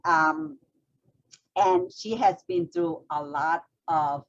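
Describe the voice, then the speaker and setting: A woman hosting an interview, introducing her guest effusively with many fillers and halting phrases. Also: on the low side, lo-fi sound. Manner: warm, effusive, hesitant with fillers. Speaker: host.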